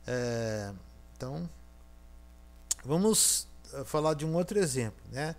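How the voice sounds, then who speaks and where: A man's voice: mid-range voice, animated, takes it slowly. A man giving a recorded university lecture with a Brazilian Portuguese accent, thinking aloud and checking in with frequent fillers.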